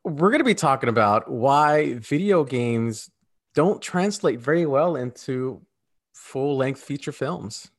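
A man's voice: mid-range voice, animated, slow. A man co-hosting a podcast in casual, opinionated chat, emphatic and repeating phrases for stress. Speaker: podcast co-host.

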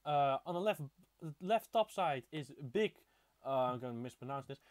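A man's voice: mid-range voice, animated, normal speed. A 19-year-old man from the Netherlands casually hosting a live hobby podcast, stumbling a little over his words. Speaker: podcast host.

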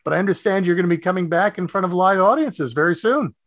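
Creaky-voiced male radio host. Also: sits high, fast talker, a bit bright, dry recording, clean studio sound. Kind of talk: radio interview talk.

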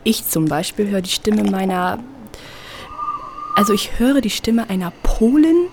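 Folksy village woman: animated, chatty, down-to-earth, clear noise in the background. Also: mid-range voice, a little slow. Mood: consoling, chatty. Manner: chatty, colloquial. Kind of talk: German audio drama acting. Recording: noisy background.

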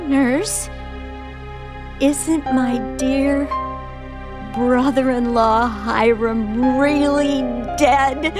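A woman acting a frightened, unhinged woman in a radio play, halting and dramatic, repeating her phrases. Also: high voice, fairly even intonation. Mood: giddy, unhinged triumph. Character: frightened, unhinged woman, now giddy and triumphant. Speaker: actor. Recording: music behind the voice. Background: music.

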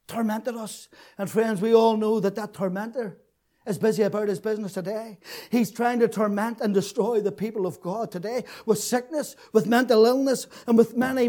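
A man preaching an impassioned sermon, repeating his phrases, swinging from shouted peaks to hushed, tearful lows. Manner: fervent, repetitive, rising to shouts then dropping low.

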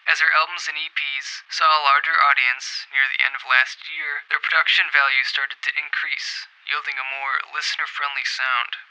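A man reading a scripted album review as host, in a measured, articulate manner with formal wording. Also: on the high side, flat delivery, sharp tone, clear, resonant tone, poor audio.

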